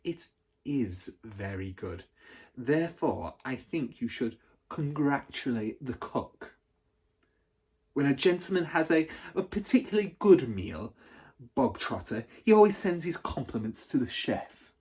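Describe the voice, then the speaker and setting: A storybook reader voicing a huge, cruel, tyrannical headmistress who barks and booms insults. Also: sing-song, unhurried, darkish tone, slightly rough. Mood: sly, mock-sweet. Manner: barking, booming insults. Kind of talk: storybook read aloud.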